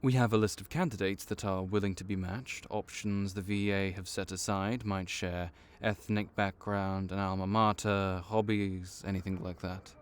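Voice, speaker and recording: A man's voice: low-pitched, steady tone, normal speed, hardly any pauses, clean tone, natural voice. A man voicing a laid-back vampire clerk and mentor in an audio roleplay, dry and wry as he speaks to the listener. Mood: easygoing.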